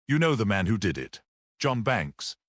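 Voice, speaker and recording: Guy doing a small calm priest sleuth in an audiobook, thoughtful and measured, really varied pitch, dry sound. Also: no room sound. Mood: reflective, earnest. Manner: composed, measured, reflective. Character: small, composed, philosophical priest detective, man. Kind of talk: classic detective audiobook character reading.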